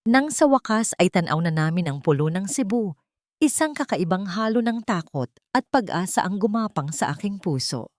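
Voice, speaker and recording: A woman narrates a scripted first-person dramatic story in a measured, solemn, literary manner. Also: mid-range voice, big pitch swings, no room sound, studio quality.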